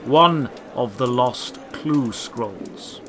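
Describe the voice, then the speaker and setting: A man voicing a tall, mysterious old man in a fantasy audio drama, gruff and calm, speaking slowly and deliberately. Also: medium pitch, slight background noise. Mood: solemn, inviting. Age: older. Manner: slow, deliberate, measured delivery. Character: tall old robed man, mysterious, cold yet calming.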